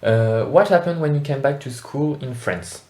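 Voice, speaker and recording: A man conducts an interview in a mid-pitched voice, putting short, plain questions and adding the odd filler. His voice carries a roomy echo. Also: normal speed, darkish tone.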